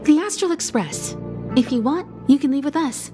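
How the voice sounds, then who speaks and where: A woman playing a composed, kindly navigator in a video game, speaking with measured poise. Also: sits high, animated. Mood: reassuring. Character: composed, mature, kindly female space-train navigator. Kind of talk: video game cutscene acting. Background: music.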